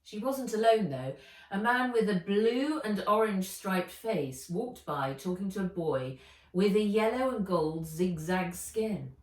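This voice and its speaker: A woman narrating a children's adventure book aloud in an expressive, dramatic and deliberate way. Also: medium pitch. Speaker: author reading own book aloud. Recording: echoey room, distant microphone.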